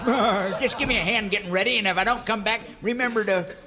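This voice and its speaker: A man's voice: high voice, quick pace, a crowd in the background, natural voice. An actor playing a folksy, muddled old cowboy sidekick in a parody of western radio serials, rambling and muttering as he goes. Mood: plucky.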